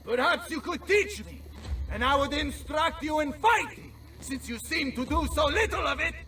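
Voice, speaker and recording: An actor playing a blustering, hot-tempered Italian mercenary general in a video game, with an Italian accent and a bombastic, theatrical manner. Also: male voice, very high-pitched, expressive, normal speed, pushed and bright, recorded from a distance, full character voice. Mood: furious, defiant. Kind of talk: dramatic video game acting.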